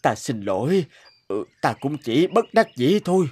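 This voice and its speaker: An actor playing a meek, lonely old father in an animated story, speaking hesitantly with stammers. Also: on the high side, measured pace, husky, exaggerated voice. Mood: apologetic. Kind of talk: animated moral story dubbing.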